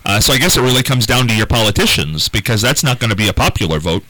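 A man with a deep voice hosting a call-in radio talk show in a relaxed, conversational way, from a small carpeted studio. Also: fast talker, dry recording, rough recording. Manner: relaxed, conversational, takes calls.

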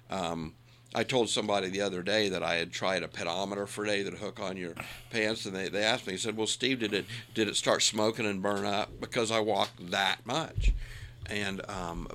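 Man in his sixties, gravelly, bright tone, folksy, emphatic, casual chat. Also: lowish voice, slight background noise. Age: 60s. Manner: folksy, self-deprecating, emphatic.

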